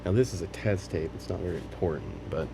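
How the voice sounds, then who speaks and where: A man giving an unscripted, casual hands-on demonstration, explaining things in a tentative, halting way. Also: low voice, noisy background. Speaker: hobbyist demonstrating a tape machine repair.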